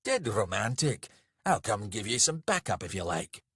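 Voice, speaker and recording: A man's voice: fairly low pitch, big pitch swings, normal speed, fairly bright tone, husky, no room sound, clean studio sound, full character voice. A man voicing a big, fierce male brawler in a story, blunt, sweary and goading. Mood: eager, goading.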